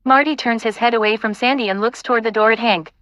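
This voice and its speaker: Higher-pitched woman narrating an audio play. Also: fast, a bit bright, no room sound, muffled recording. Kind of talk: scripted screenplay narration, reading stage directions.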